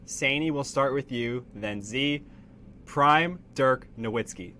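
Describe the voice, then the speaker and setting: Young male sports co-host, congested nasal voice, mid pitch, faint noise behind. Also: slow pace, pushed and bright. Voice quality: stuffy, nasal. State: congested, getting over a cold. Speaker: podcast co-host. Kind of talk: casual sports debate.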